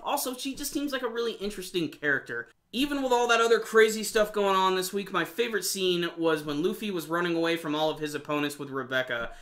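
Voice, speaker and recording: Male episode reviewer, slightly high pitch, sharp bright tone, excited fan commentary, informal and slangy. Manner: casual fan talk with slangy emphasis. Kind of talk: enthusiastic video review commentary.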